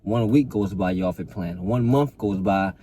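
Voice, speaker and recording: A man's voice: deep voice, normal speed, warm tone, a little hiss or hum. A young adult man talking casually to camera as a fitness coach, earnest and motivating, often repeating and restarting words.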